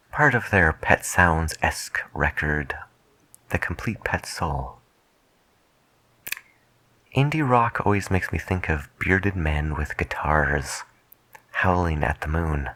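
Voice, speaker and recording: A man speaking softly as a late-night radio DJ in an ASMR style, with a wry, melancholy, musing manner. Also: low-pitched, expressive, slow pace, long pauses, no echo. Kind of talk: soft-spoken ASMR radio DJ patter.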